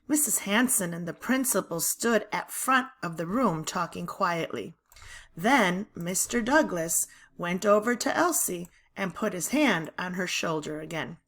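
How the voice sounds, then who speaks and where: A woman reading a children's novel aloud in expressive, deliberate narration. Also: fairly low pitch.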